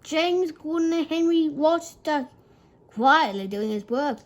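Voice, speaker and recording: A woman with a high voice reads a children's story aloud at a slow pace. She reads haltingly, sometimes tripping on a word and starting it again. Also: lively intonation, lo-fi sound. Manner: halting, stumbles and repeats words while reading.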